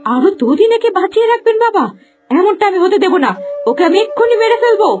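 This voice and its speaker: A woman's voice: very high voice, expressive, fairly quick, darkish tone, soft background music. A woman voicing a spiteful, impatient young sorcerer's henchwoman in a melodramatic cartoon. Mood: furious. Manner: urgent, goading.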